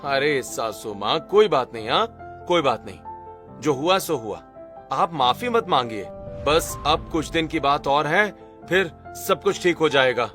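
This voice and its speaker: A man's voice: a little high, expressive, a little slow, fairly bright tone, faint music behind. A man playing an earnest young rich son-in-law in a dramatic, emphatic cartoon story style. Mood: reassuring, earnest. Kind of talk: Hindi cartoon story acting and narration.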